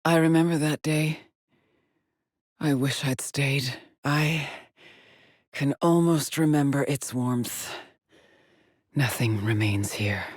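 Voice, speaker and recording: A woman's voice: very deep voice, slow pace, pauses often, slightly rough, no echo, clean studio sound, exaggerated voice. An actress voicing a haunted, determined female astronaut scout in a video game, with terse, introspective, formal phrasing. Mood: haunted, mournful, then hushed, awed. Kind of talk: dramatic video game monologue and log entries.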